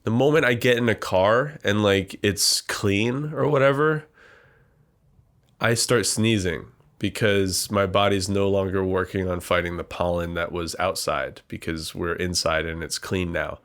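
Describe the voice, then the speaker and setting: A man's voice: low-pitched, fairly even intonation, a little slow, a bit bright. Congested nasal young man rambling. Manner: rambling, self-deprecating, with frequent fillers. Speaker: podcast host. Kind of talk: casual comedic monologue. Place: small warm room with a computer.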